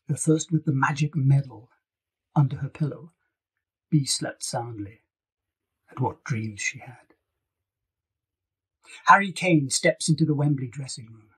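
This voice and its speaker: A man's voice: mid-range voice, animated, a little slow, long pauses, slightly rough. A man reading scripted fiction as an author narrating an audiobook. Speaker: author reading own novel.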